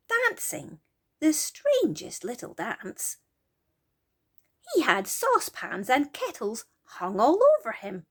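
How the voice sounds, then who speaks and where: A woman tells a bedtime story to children. Her delivery is gentle and highly animated, swinging in pitch and often sinking to a hushed, breathy near-whisper. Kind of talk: expressive bedtime story narration.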